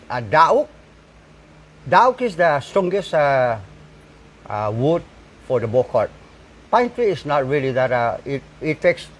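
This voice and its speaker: A man, a farmer and bull cart maker, talking in a casual interview with many fillers and restarts. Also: on the high side. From Saipan, Northern Mariana Islands. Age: 60s. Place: outdoors at his home.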